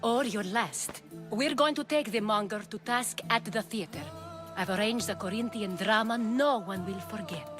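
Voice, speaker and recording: A woman's voice: mid-range voice, normal speed, over music, natural voice. An actress playing a proud, vengeful woman who leads a city's underworld, speaking in a commanding, theatrical, formal way in a video game. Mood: firm, scheming. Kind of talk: dramatic video game acting.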